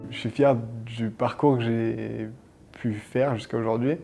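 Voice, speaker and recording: A young man in his twenties answers interview questions in a relaxed, casual way. His voice is flat and mellow, with faint music behind it. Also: mid-range voice, unhurried, vocal fry, husky. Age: 20s. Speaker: para-judo athlete.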